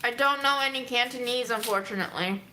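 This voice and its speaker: Bright, chatty woman answering questions. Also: a little high, quick pace, a little hiss or hum, rough recording. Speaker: vlogger answering viewer questions. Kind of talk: casual Q&A chat.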